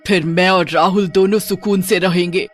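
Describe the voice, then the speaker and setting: A woman's voice: fairly low pitch, steady tone, moderate pace, soft background music, natural voice. A woman voicing a vengeful, power-hungry witch bride in a horror story, declaiming with menace. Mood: menacing, power-hungry.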